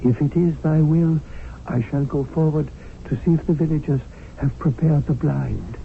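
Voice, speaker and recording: An actor playing a dignified Indian hunting guide, formal and privately scornful, speaking in archaic measured phrases in a radio drama. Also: male voice, fairly high pitch, gravelly, a little hiss or hum. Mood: deferential. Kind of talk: 1970s radio drama narration and acting. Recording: narrow-band old radio, music behind.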